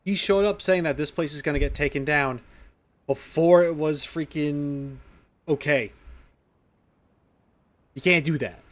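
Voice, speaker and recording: A streamer reacting casually to a video game in a medium-pitched voice. The talk is slangy and sweary, full of short heckling remarks. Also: unhurried, long pauses.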